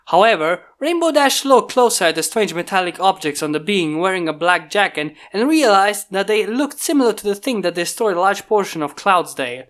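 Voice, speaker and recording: Medium-pitched fan fiction story narrator. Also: animated, fairly quick, few pauses, fairly bright tone.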